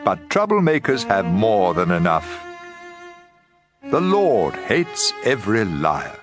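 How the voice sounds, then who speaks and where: Lively-pitched man reading scripture over music. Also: slow pace. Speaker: audio Bible reader. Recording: prominent background music.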